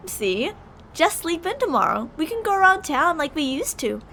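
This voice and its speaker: A woman's voice: extremely high pitch, very animated, moderate pace, fairly bright tone, a little hiss or hum, full character voice. A woman playing a possessive, delusional young yandere bully in an ASMR roleplay, teasing, insistent and emotionally volatile. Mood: sweetly pushy.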